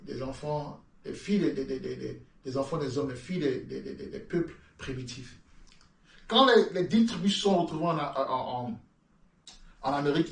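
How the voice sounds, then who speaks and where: This is a man from France preaching a sermon in French with a London-tinged accent of his own. He reads scripture, then stumbles over repeated words before climbing into emphatic bursts. His voice sits somewhat high and comes from back in an echoey room, away from the microphone. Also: recorded from a distance. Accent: French with a London-influenced lilt. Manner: repeats words, stammering restarts, rising emphatic peaks. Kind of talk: sermon with Bible reading.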